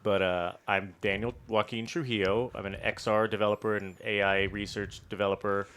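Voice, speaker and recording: A man's voice: fairly low pitch, flat delivery, moderate pace, a bit bright, clean tone. A laid-back man from Las Vegas, a guest on a podcast, talking casually and rambling with lots of fillers. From Las Vegas, USA. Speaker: guest, tech developer. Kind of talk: casual interview chat.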